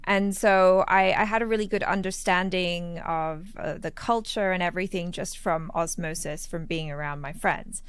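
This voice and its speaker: A woman's voice: lowish voice, normal speed, few pauses, clean tone. A woman from Thailand, a podcast guest, chatting with playful asides and easy laughs. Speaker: guest, reaction channel creator. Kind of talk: casual interview storytelling.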